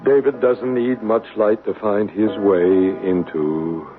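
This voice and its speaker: A man playing a gentle, assured male psychic medium in a 1970s radio drama, explaining patiently. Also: lowish voice, expressive, slow pace, music in the background. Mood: reassuring. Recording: narrow radio broadcast with faint hiss.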